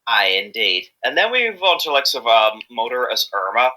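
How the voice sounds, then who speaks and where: Bright-voiced, animated man rambling in an interview. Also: mid-range voice, a little fast. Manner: hesitant, rambling, repeating himself. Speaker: amateur voice actor being interviewed. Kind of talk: casual interview chat.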